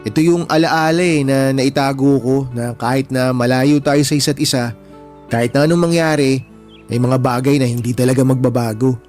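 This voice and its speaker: A man's voice: mid-range voice, steady tone, moderate pace, darkish tone, soft background music, lightly acted. A man playing an affectionate, boyish younger brother in a Filipino radio drama, earnest, with a Filipino accent. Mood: sentimental.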